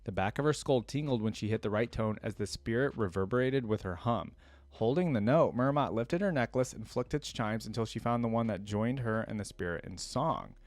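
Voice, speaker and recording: A man with a fairly low voice hosts a reaction video. He reads story text aloud and breaks off for casual commentary. The sound is dry, with very little room to it. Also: lively intonation, fairly quick, dry recording.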